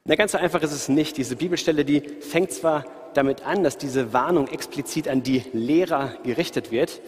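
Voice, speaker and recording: A man from the Ruhr area with a light Ruhr accent, preaching in a relaxed, conversational way and talking straight to his listeners. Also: on the high side, normal speed. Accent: light Ruhr German. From Ruhr area, Germany. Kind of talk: sermon. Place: church hall, live audience and online stream. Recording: reverberant hall.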